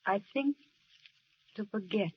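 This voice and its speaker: An actress playing a young nurse in love and grieving, wistful and earnest, in 1930s radio drama style. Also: female voice, mid-range voice, animated, takes it slowly, slightly rough, heavily acted. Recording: dull vintage radio recording, music behind.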